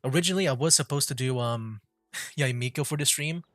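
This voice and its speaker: A man's voice: mid-range voice, brisk, a bit bright, slight background noise. A man giving informal, meandering commentary on a gaming livestream. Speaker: streamer. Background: faint game music.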